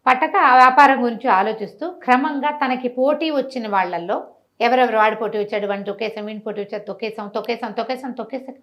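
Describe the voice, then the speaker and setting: A woman telling a moral story conversationally, with animated delivery, rhetorical questions and everyday examples. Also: a little high, quick pace, highs cut off. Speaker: storyteller, interview guest.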